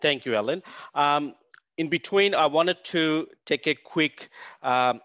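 A man's voice: on the high side, takes it slowly, fairly bright tone, no room sound, lo-fi sound. A man hosts a virtual open day. He wears a headset and talks informally with small stumbles. His voice sounds dry and a little thin. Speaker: event host. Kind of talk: hosting an online open day.

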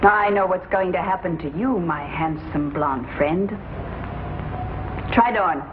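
A woman's voice: fairly low pitch, slow. A woman playing a haughty, hot-headed queen in a 1950s television space opera, imperious and theatrical. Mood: cool, imperious. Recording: very noisy, muffled, echoey 1950s TV soundtrack.

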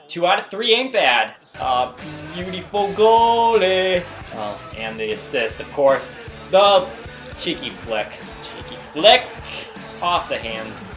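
A man's voice: fairly high pitch, a bit bright. A man hosting a gaming video, giving slangy, exclamatory, self-hyping play-by-play of his own game. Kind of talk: excitable gameplay commentary.

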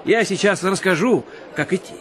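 An actor playing a hearty young village blacksmith in a radio play, with a folksy, open manner. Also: male voice, high-pitched, normal speed, fairly bright tone, a faint crowd. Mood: obliging.

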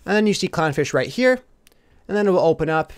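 A man giving a casual step-by-step software tutorial as a voice-over, addressing viewers informally. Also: a little high, lively intonation, fast, clear, resonant tone. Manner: instructional, addresses viewers informally as "you guys". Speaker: tutorial host.

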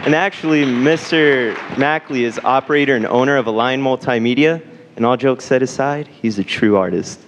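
A man's voice: mid-range voice, moderate pace, a faint crowd. A teenage boy co-hosting a school event, introducing the speaker with playful mock surprise on a handheld mic. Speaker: student event co-host. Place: school auditorium stage. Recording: noisy hall full of students.

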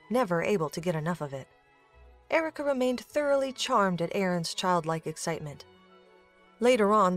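A woman giving scripted third-person narration of a story as the narrator. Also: mid-range voice.

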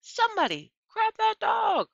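An exasperated, indignant store manager from a children's storybook, acted out by a woman, pitched up and bright. The delivery is emphatic and frantic, on a slightly dull lo-fi recording. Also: wildly swooping pitch, unhurried, creaky, no room sound, full character voice. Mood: frantic pleading. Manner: shouting, pleading, indignant.

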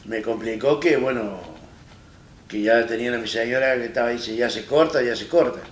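A man in his fifties with an Argentine accent, telling his own story in a plain-spoken, halting way as an interview guest. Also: mid-range voice. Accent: Argentine Spanish. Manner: plain-spoken, halting and retelling with many restarts. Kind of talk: personal story in a studio interview. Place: small studio set, seated in armchair. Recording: roomy echo, distant mic, faint hiss.

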